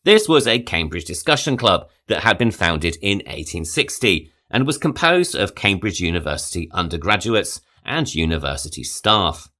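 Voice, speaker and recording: A man hosting a podcast, reading a scripted history with measured delivery and quoted passages. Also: sits low. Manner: reads quotations aloud, measured and lively. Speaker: podcast host. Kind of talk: scripted narration.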